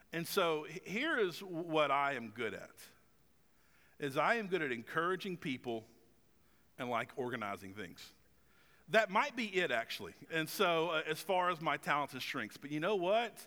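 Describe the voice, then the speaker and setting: Man around forty, pastor preaching a sermon, bright ringing voice, a bit high, conversational, reverberant church hall. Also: sing-song, normal speed. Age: 40s. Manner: conversational and self-deprecating, with stumbles and fillers. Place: church stage with long reverb.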